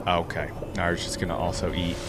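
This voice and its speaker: A man hosting a gaming reaction video, commenting casually off the cuff and thinking out loud with easy surprise. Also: low voice, little pitch movement, moderate pace, music in the background. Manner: easily amused, thinks out loud, exclaims with surprise.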